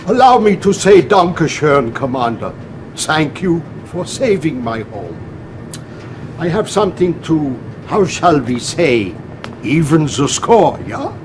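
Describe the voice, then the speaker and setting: An actor playing an eccentric elderly German physicist in a game, polite and scheming, speaking haltingly with a German accent. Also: male voice, on the high side, a little mellow. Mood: grateful. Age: older. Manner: halting, deliberate, with verbal tags. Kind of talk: video game cutscene acting. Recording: noisy closed-circuit video feed.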